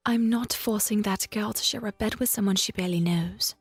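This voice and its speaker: A woman's voice: medium pitch, brisk, breathy edge, no room sound, faint music behind. A woman playing a feisty, snappy young bard in an audio drama, exasperated and indignant. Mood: stubborn.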